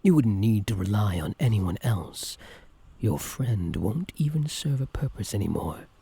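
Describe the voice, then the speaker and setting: A man playing an obsessive, menacing yandere supervillain in an intimate, theatrical ASMR roleplay, with a breathy, deliberate delivery. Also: lowish voice, lively intonation, warm tone, no room sound, exaggerated voice. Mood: possessive, seductive.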